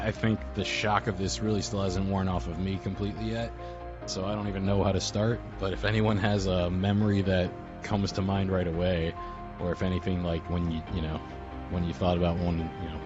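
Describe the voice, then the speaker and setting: A man hosting a casual group call, speaking in an informal, rambling and hesitant way as he reminisces. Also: low voice. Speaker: host of a memorial group call. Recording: dull lo-fi audio with music behind. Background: music.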